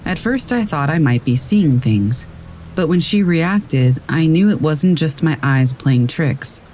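A man calmly reading scary stories aloud as a narrator, in a steady storytelling manner. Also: on the high side, a little slow, a little hiss or hum, poor audio. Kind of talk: scripted narration of reader submissions. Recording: faint rain in the background. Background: rain.

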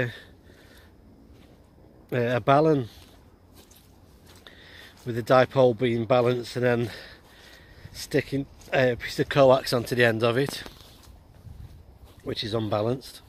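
A man in his forties talking casually and haltingly in an outdoor vlog. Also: mid-range voice, fairly even intonation. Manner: halting, hesitant delivery. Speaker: amateur radio vlogger. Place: outdoors on an open hillside. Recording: noisy outdoor ambience.

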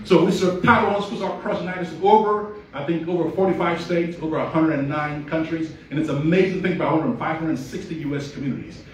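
A man's voice: fairly high pitch, steady tone, fast, few pauses, a little hiss or hum. Nigerian man giving an earnest speech in a hall. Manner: storytelling, earnest, rising to emphatic peaks. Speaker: award honoree giving a speech. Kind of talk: inspirational speech. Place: large hall at an awards event, red carpet. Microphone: handheld mic, filmed by a phone from the audience. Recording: echoey hall, far from the phone.

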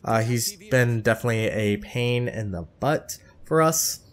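A man's voice: mid-range voice, measured pace. A man with a stuffed-up, nasal voice commentating his own naval game in a matter-of-fact way, with frequent hesitations and apologetic asides. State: stuffed-up nose. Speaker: gameplay commentator.

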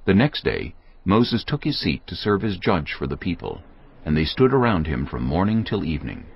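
A man narrating scripture in a measured, expressive reading. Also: deep voice, gravelly, husky, lo-fi sound.

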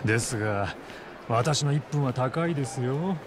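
A man plays a courteous crime boss from a video game, composed and quietly menacing. His formal, condescending speech moves through lively pitch swings, with faint ambience behind it. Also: background noise, close to an everyday voice. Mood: polite, firm. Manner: formal, polite, measured, condescending. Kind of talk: Japanese video game dub acting.

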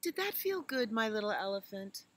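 A woman voicing a gentle mother elephant, patiently teaching her little one in a children's storybook. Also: mid-range voice, very animated, moderate pace, pitched above the performer's own voice. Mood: gently encouraging. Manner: patient, instructive.

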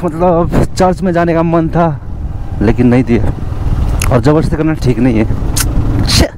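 A man's voice: on the high side, fairly even intonation, normal speed. A young man chatting to viewers as he rides a motorbike on a rainy hill road. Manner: chatty, addresses viewers as guys. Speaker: motovlogger. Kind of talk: casual travel vlog narration while riding. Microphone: helmet or bike-mounted action camera. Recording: outdoor ride with engine and road noise. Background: motorcycle engine and rain.

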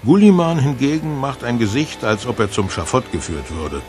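Animated male storybook narrator. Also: medium pitch, moderate pace, soft background music. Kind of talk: scripted story narration for children.

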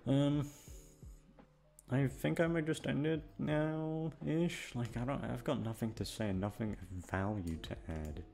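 A man's voice: mid-range voice, unhurried, gravelly, warm tone, soft background music. A young man chatting casually and aimlessly to his listeners, self-deprecating, hesitant and sweary. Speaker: audio creator and voice actor. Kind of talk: rambling casual chat to listeners.

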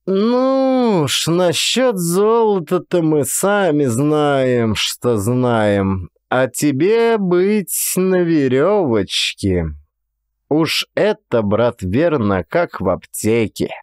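A man acts out a mirthful, needling man in an audiobook drama. His voice rides high and very lively, teasing and pressing. It is recorded close and dry. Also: drawn-out words, smooth, no echo. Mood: taunting. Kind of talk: dramatised audiobook character acting.

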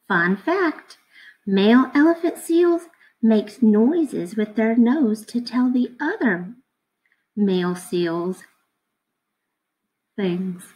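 A woman in her thirties reading a picture book aloud to children like a teacher, expressive and instructive, putting questions to her listeners. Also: on the high side, low-quality recording.

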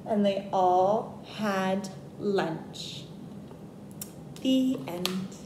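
A woman in her twenties reading a picture book aloud to children in an expressive, deliberate way. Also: mid-range voice. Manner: animated, deliberate. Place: small plain indoor room. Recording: echoey room with background noise.

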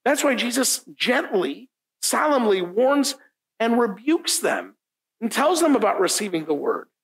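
Man, middle-aged, pastor, first language Italian, gravelly voice, casual sermon, repeats points, church room, distant camera. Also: very high voice, sing-song, a little slow. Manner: informal, often asks "right?", repeats key lines for emphasis. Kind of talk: conversational sermon. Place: church meeting room, indoors, standing at a lectern.

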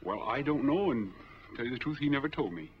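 An American actor in his forties playing a smooth, easygoing drifter in a 1940s film drama. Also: mid-range voice, expressive, fast talker, a little hiss or hum, lightly acted. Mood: earnest, measured. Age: 40s. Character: smooth, easygoing drifter applying for bank money. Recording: dull old 1940s film soundtrack.

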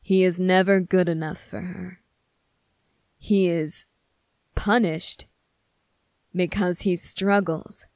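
A stern young demon prince, played by a woman, delivers a measured ASMR monologue to an angel. The words come very slowly in a lowish register, bitter and reproachful, with some phrases falling into breath. The recording is dry and free of room sound. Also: steady tone, darkish tone, no room sound. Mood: grave, indignant. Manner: slow, deliberate, accusing, with breathy whispered phrases. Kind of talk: scripted ASMR roleplay monologue.

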